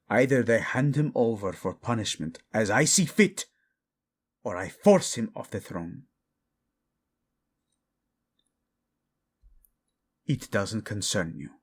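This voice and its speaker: A man playing a proud, vengeful young king, fiercely protective of his wife, in a dramatic romance audio roleplay. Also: lowish voice. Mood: anguished, angry. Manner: brooding, commanding, tender in endearments.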